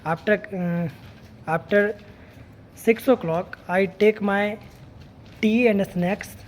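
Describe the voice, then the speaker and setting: A young woman from Bihar, India, with an Indian English accent and Hindi as her first language, practicing spoken English in a halting, unscripted monologue full of fillers and restarts. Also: medium pitch, a little mellow, slight background noise. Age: young adult.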